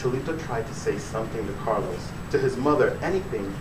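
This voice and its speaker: A man's voice: fairly low pitch, animated, quick pace. A man, an author giving a dramatic reading of his fiction at a live bookstore event. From born in Puerto Rico, raised in the Bronx, New York. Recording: echoey room, distant mic, noisy.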